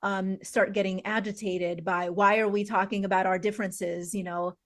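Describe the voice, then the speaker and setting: A woman's voice: mid-range voice, little pitch movement, normal speed, fairly bright tone. A woman hosting a talk show, thinking aloud with frequent "um" as she reflects and steers the conversation. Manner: thinks aloud with many "um" fillers. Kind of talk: conversational interviewing.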